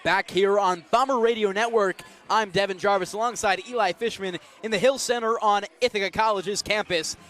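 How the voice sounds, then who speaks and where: A young man giving an energetic, descriptive live play-by-play of a basketball game for radio. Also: high voice, normal speed, hardly any pauses. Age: young adult. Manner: energetic, descriptive call of the action. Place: college basketball arena, live courtside call.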